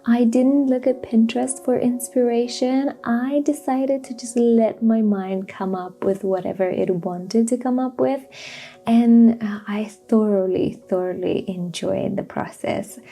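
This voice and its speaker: A woman's voice: on the high side, fairly even intonation, measured pace, dark tone. A woman from Romania giving a chatty, personal lifestyle vlog voiceover. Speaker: lifestyle vlogger.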